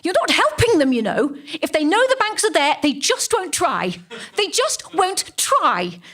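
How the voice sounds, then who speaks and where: A middle-aged, middle-class English churchgoing woman in a comic stage monologue. Her voice sits high and swings dramatically up and down. She confides in the listener with snobbish, self-righteous indignation and heavy emphasis. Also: very animated, unhurried, fairly bright tone, exaggerated voice. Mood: wounded, rising rage.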